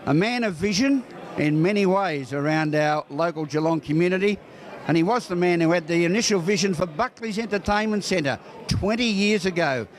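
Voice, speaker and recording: Man, Australian accent, high voice, on-location news reporter, ceremonious wording, interviewing. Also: moderate pace. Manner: florid, ceremonious phrasing. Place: busy celebration venue.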